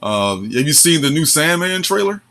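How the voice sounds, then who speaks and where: Chatty 40s guy, bright bouncy voice, laughs a lot, lots of ums. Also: on the high side, sing-song, moderate pace. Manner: chatty, laughs often, filler-heavy. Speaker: co-host. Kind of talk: casual chat.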